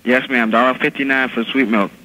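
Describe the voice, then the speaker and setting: Man, grocery employee, crisp bright tone, polite, patient, hesitant, faintly noisy phone line. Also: medium pitch, steady tone, a little fast. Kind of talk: customer service phone call. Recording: phone call with clear line noise.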